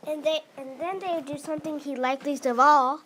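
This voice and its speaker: A child narrating a reader's theatre script, reading aloud with emphasis and some halting. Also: high voice, steady tone, heavy background noise. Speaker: narrator in a class play.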